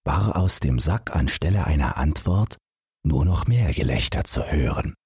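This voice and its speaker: Bedtime fairy tale narrator, man: unhurried, very husky voice with lively intonation. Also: very deep voice, no room sound, studio quality. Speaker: audiobook narrator.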